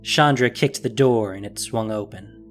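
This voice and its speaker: A man's voice: fairly low pitch, unhurried. A man reading scripted story narration as the narrator of an audio drama. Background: music.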